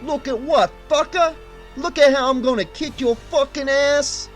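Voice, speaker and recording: A man voicing a burly, violent male thug who shouts curses in a Spanish-tinged accent. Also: very high voice, a little slow, soft background music, pitched above the performer's own voice. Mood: menacing, shouting. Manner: shouting, snarling insults.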